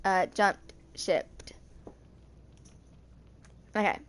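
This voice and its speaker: A woman's voice: medium pitch, drawn-out words, a bit bright. A woman in her twenties reading story text aloud in an amateur way, with small stumbles. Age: 20s. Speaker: video creator reading game character lore.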